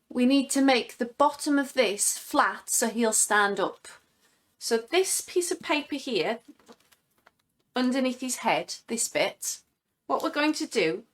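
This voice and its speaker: A woman giving step-by-step craft instructions in a clear, methodical way. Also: a little high.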